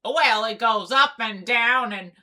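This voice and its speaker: A woman's voice: fairly high pitch, slow, pushed and bright. A woman voicing a bossy, teasing pony sea captain with pirate-style speech in a cartoon comic dub. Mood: coaxing, assured.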